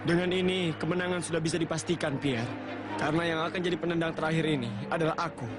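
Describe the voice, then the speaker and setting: A man's voice: fairly high pitch, steady tone, quick pace, lightly acted. A man voicing a boastful, cocky young striker in a dubbed football anime, with dramatic delivery. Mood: smug. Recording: loud music behind.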